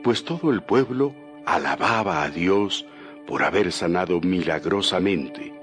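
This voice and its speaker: A man narrating a dramatized Bible from a script in a solemn, deliberate storytelling manner. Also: sits low, lively intonation. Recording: music bed behind the voice.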